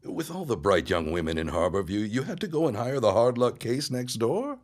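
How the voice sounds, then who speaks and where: A man's voice: medium pitch, big pitch swings, normal speed, natural voice. An actor playing an arrogant, scheming 1910s businessman with haughty, formal diction in an audio drama. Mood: sneering, scornful. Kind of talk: period audio drama acting.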